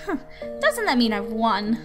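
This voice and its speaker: Sulky, aloof rebellious schoolgirl played by a woman, highish. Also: sing-song, unhurried. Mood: sulky, smug. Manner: dismissive, sulky. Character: stubborn, aloof rebellious girl student. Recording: music behind the voice.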